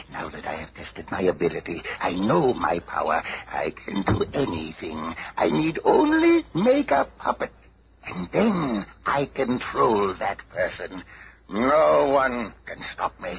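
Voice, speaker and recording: A mad, grandiose radio villain who drags out his words and swings wildly in pitch. His voice has an echo effect and sits in a dull, noisy old broadcast. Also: very animated, measured pace, gravelly, full character voice. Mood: gloating. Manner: theatrical, grandiose, swooping delivery. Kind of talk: radio drama acting.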